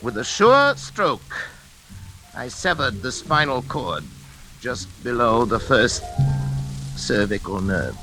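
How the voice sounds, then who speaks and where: An actor playing an anguished middle-aged scientist father, obsessive and guilt-ridden, in halting, intense 1940s radio drama style. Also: mid-range voice, big pitch swings, poor audio. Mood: haunted, driven. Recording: old radio recording with music behind.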